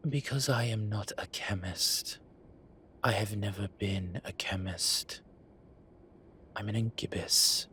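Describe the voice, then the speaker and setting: A man playing an ancient, bookish incubus demon professor in an audio roleplay, dry and exasperated, with halting, sighing delivery. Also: on the low side, pushed and bright, slight background noise. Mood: wry, weary. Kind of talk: audio roleplay acting, intimate one-sided dialogue.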